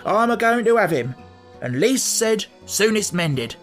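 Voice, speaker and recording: A storybook reader voicing a stubborn, gruff coachman who talks in blunt country dialect. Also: mid-range voice, unhurried, a bit bright, soft background music, natural voice. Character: gruff rustic coachman, stubborn married man.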